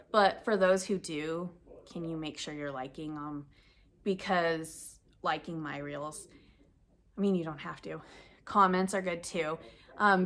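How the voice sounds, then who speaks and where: A woman's voice: fairly low pitch, fairly bright tone. A woman vlogging casually about knitting, sniffly from the cold, chatting in a rambling way that corrects itself as she goes. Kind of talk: casual chat to camera.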